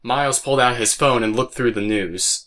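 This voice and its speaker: A man's voice: medium pitch, normal speed, fairly bright tone. A man narrating a scripted audio drama story. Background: faint music.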